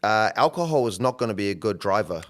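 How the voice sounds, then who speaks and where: A man's voice: on the low side, lively intonation, quick pace. A young adult man, co-hosting a podcast in a reflective, easygoing way. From New Zealand. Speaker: podcast co-host, coach. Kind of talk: casual podcast chat.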